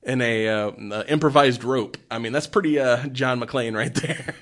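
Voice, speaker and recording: A male podcast co-host talks through horror films in a loose, conversational way. His voice sits at a middling pitch. He keeps a joking manner and tends to laugh while he speaks. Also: normal speed, a bit bright. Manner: jokey, laughs often while talking. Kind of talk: casual chat, film commentary.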